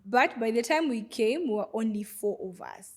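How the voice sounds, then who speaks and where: A young woman from Goma in the DR Congo, talking as a guest on a podcast. Her voice sits a little high, and her story comes out unhurried and searching, full of "like" and "uh". It is close-miked in a studio. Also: expressive. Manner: warm, hesitant storytelling with many fillers. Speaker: guest, refugee vendor. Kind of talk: podcast interview.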